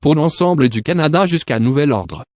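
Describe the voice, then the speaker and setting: A man's voice: on the high side, expressive, fast, a little mellow, no echo, clean studio sound. A man reading a scripted emergency broadcast announcement, formal and impersonal.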